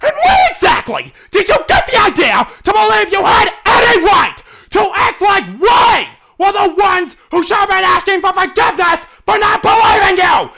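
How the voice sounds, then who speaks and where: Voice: extremely high pitch, very animated, moderate pace, creaky, few pauses, shouting, crowd noise, background noise, pitched above the performer's own voice, exaggerated voice. An amateur reader voicing a stern, angry stallion prince and protective older brother, accusatory and emphatic, in a fan fiction reading. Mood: furious, shouting.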